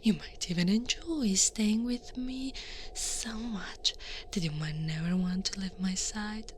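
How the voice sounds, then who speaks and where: An Italian woman acts out a towering female Krampus for an ASMR roleplay. She whispers breathily and very slowly, stern but soothing, coaxing with quiet menace. Faint ambient noise sits behind the voice. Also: mid-range voice, lightly acted. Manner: slow, deliberate, coaxing and menacing. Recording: noisy ambient background.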